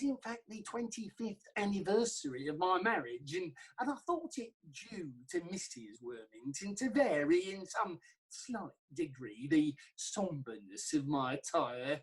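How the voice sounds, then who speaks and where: A woman playing a fussy, proper male court clerk in a theatrical comedy reading, husky, formal and a little bashful. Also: fairly low pitch, full character voice, rough recording. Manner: hesitant, formal, slightly bashful.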